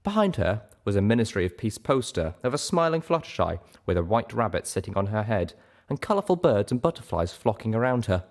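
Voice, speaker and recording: A man reading a story aloud as an audiobook narrator, in an expressive scripted style. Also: on the low side, fairly quick. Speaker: audiobook reader.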